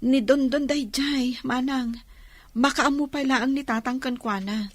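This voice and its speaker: A soft-hearted, anxious young woman in a radio drama. Her voice sits high and she speaks with an Ilocano accent. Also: steady tone, a little slow, dry recording. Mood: hurried, evasive.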